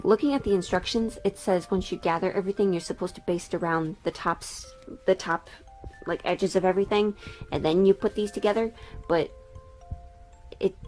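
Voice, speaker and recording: A woman's voice: mid-range voice, rough recording. A woman sewing vlogger talking through her project, part narration and part casual chat.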